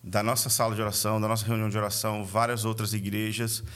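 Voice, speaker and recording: A man's voice: fairly low pitch, flat delivery, fast talker, a bit bright. A man in his late thirties from Vitória, Brazil, with an Espírito Santo accent, a podcast guest who tells his story in an earnest, reflective way. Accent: Brazilian Portuguese, Espírito Santo (capixaba). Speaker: guest, missionary and church leader. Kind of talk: conversational podcast interview.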